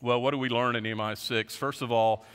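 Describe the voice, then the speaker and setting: A pastor in his fifties preaches from a lectern on a big church stage. He is earnest and often lets his voice sink low and quiet to close a point. His ordinary, middle-range voice carries a long reverb from the large sanctuary. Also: fairly even intonation, a little fast, clean tone. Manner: earnest, gestures widely, voice drops low at ends of points. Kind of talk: sermon.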